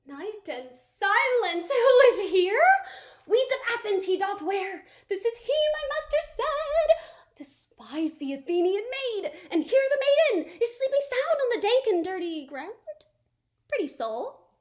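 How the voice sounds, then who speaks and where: Woman as a mischievous sprite, very high, playful whispers. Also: big pitch swings, a little slow, exaggerated voice. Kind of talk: Shakespearean stage acting.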